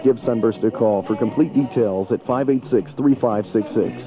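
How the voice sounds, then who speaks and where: A man's voice: mid-range voice, moderate pace. Male radio ad voice, muffled, over music. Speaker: radio commercial announcer. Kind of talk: promotional radio ad read. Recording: muffled 1980 radio recording, loud music.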